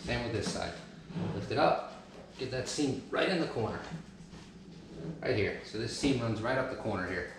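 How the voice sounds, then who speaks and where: A man's voice: mid-range voice, lively intonation, normal speed, long pauses. A man in his thirties giving practical step-by-step how-to instructions while working on the floor of a large family room at home, recorded on a camera mic from a distance. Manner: practical step-by-step, talks while kneeling and lifting. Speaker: DIY home channel host. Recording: echoey room, some noise.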